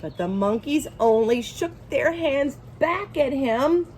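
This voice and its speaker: A woman reading a picture book aloud to young children in an expressive way, engaging her listeners as she goes. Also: sits high, slight background noise. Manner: expressive, pauses to ask listeners questions. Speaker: children's storybook reader.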